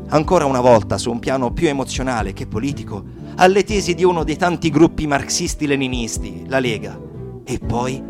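A man plays an impassioned young Sicilian activist in a radio drama. He recounts his life solemnly and deliberately, in a mid-pitched voice, with guitar music clearly behind him. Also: close to an everyday voice. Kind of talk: dramatic first-person monologue in radio theatre.

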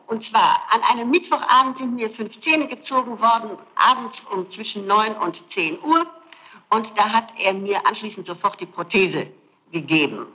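A woman's voice: mid-range voice, pushed and bright, muffled recording. A middle-aged woman being questioned as a suspect, defending herself insistently and repeating her points emphatically. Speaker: suspect under interrogation. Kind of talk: defensive testimony in an interrogation. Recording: noisy archival tape recording.

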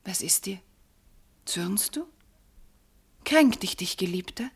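An actress playing a devoted, troubled wife in a classical verse radio drama, hesitant and fervent, with a breathy delivery. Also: female voice, mid-range voice, lively intonation, takes it slowly, full character voice. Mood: anxious, reverent. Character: devoted, troubled young wife torn between god and husband.

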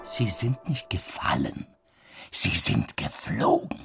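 An actor in a German radio play playing an earnest, eccentric witness who tells a fantastical story in florid, insistent language. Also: male voice, on the low side, huge pitch swings, slow, creaky, loud music behind the voice, heavily acted.

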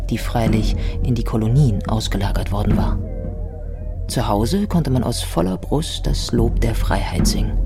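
A narrator reading scripted literary radio narration in a measured, reflective manner. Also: mid-range voice, close to an everyday voice. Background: music.

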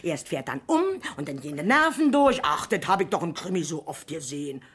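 An actress playing an agitated, gossipy, resentful eyewitness in a German radio crime drama, chatty and insistent. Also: female voice, low voice, animated, a little fast, a bit bright.

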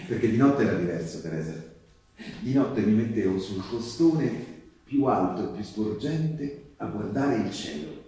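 Male partisan, low-pitched and tender, halting intimate monologue, heard in a very reverberant room. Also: dark tone. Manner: intimate, halting, with dramatic pauses. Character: male wartime partisan tenderly recalling youth in the mountains. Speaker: stage actor performing a monologue. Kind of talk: theatrical monologue, spoken letter to a loved one. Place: live stage performance, recorded from a distance. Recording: distant microphone in a very echoey room.

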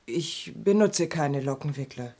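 Hesitant, dark-voiced young woman. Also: low voice, expressive, exaggerated voice. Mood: uneasy. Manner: hesitant, halting. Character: hesitant, uneasy young granddaughter of a murder victim. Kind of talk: German radio crime drama acting.

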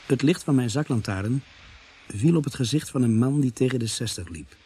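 An actor playing a police constable who narrates a radio drama in a measured, grave way with a husky voice. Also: male voice, medium pitch, dark tone. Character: male police constable grimly narrating a murder case.

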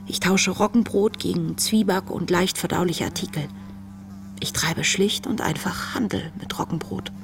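A woman playing a compassionate young camp inmate reading out her letters, measured and reflective, in a radio play. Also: on the low side, vocal fry, noisy background. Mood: reflective, tender. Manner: reflective, measured, sometimes hushed. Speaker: lead actress, letter reader. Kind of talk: intimate literary letter narration in a radio play.

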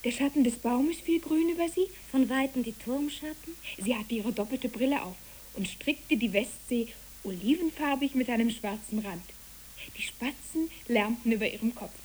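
Woman as high-voiced young storyteller. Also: normal speed, darkish tone, close to an everyday voice, rough recording. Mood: earnest, narrating. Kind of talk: literary scripted narration in a radio play. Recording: hissy old radio recording.

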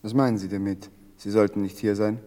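An actor playing a calm German officer in a radio drama, formally polite and firmly in command. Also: male voice, lowish voice, a little fast, close to an everyday voice. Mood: commanding, composed. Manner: authoritative, formally polite, controlled. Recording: long echoing hall reverb.

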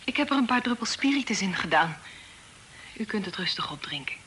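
An actress playing a polite, guarded young daughter of the house in a radio play. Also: female voice, mid-range voice, sing-song, fairly quick, fairly bright tone. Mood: soft, solicitous. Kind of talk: Dutch radio play acting. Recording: noisy old radio play recording.

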